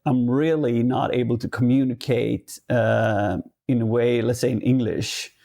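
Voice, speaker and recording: A man with a Swedish accent and a gravelly, dark, mellow voice, talking loosely in a relaxed podcast chat. He stops and restarts often, hunting for the right English word, and then carries on. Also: mid-range voice. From Sweden. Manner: halting, searching for words, many restarts. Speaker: podcast guest. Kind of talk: casual podcast conversation.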